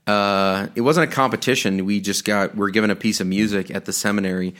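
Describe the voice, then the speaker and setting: A man's voice: lowish voice, steady tone, brisk, a bit bright. Joking man on a casual podcast. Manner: joking, self-mocking. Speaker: priest, podcast host. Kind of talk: casual chat.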